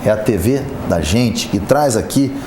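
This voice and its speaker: A man hosting a casual business talk show, chatty and lively as he introduces the guest and plugs sponsors. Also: lowish voice, measured pace. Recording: echoey studio with background noise.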